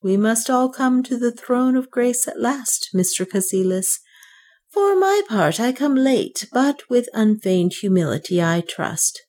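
A sickly old banker in a storybook, as a woman narrator puts him on, speaking in wheedling, unreal tones that jump high and shaky with excitement. His voice climbs well above hers and wavers as he pleads for forgiveness. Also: a little slow. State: tremulous, excited. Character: feverish old male banker, wheedling and penitent.

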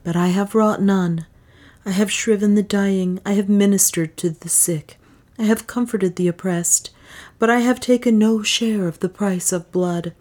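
A woman voicing a gentle, frightened but righteous male priest in an audiobook, soft, girlish and solemn. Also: mid-range voice, fairly even intonation, a little slow, pitched above the performer's own voice, natural voice. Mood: solemn protest. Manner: solemn, formal, earnest.

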